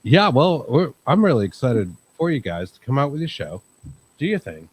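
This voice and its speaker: A man hosting a live chat show, talking in a calm, rambling way with many fillers. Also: medium pitch, normal speed, husky. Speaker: host. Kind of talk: casual livestream chat.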